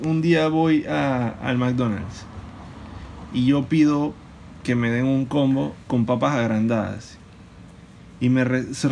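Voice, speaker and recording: Gravelly man joking around. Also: medium pitch, slight background noise. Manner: joking, animated storytelling with quick laughs. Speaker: podcast co-host. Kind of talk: casual couple podcast chat.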